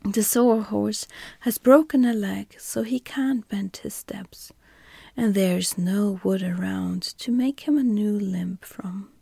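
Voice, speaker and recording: A woman's voice: medium pitch, slow, creaky, slightly rough, no room sound, studio quality, exaggerated voice. A woman voicing a practical young boy in a whispered bedtime storybook reading. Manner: whispered, soft.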